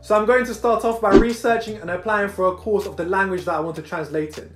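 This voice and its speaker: A man's voice: sits high, fairly even intonation, fast. A young man from Brazil hosting a show, presenting a script to camera in an upbeat, punchy way from a small indoor room. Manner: upbeat, punchy list delivery. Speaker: host. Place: small indoor room against plain wall. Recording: echoey room, light music behind.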